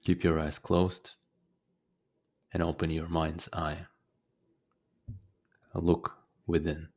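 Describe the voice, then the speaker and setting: A man leading a guided meditation as a teacher, speaking calmly and deliberately with frequent pauses. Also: low voice.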